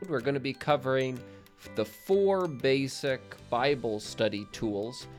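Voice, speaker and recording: A man's voice: medium pitch, unhurried, darkish tone, soft background music. A young man hosting a faith podcast, speaking earnestly in casual talk, often restarting sentences and using fillers. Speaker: podcast host.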